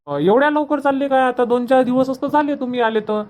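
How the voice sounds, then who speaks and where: A woman's voice: high-pitched, fast talker, darkish tone, natural voice. A woman playing a hospitable, insistent young daughter-in-law in a cartoon comedy, coaxing and repetitive, with a Varhadi Marathi accent. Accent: Varhadi Marathi (Vidarbha). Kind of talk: homemade cartoon comedy dub.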